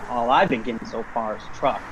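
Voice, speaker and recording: A woman's voice: extremely low pitch, fairly quick. A woman playing a breezy, grateful hitchhiker in an amateur radio play, with a folksy, slangy way of talking, over car noise. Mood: relieved, chatty. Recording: noisy, car engine sound. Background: car engine noise.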